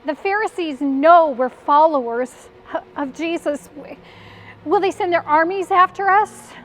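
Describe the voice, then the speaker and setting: An actress playing a grieving, frightened woman disciple in a halting, anguished dramatic monologue, full of despair and bitterness. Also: very high voice, fairly bright tone. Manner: halting, self-questioning, anguished.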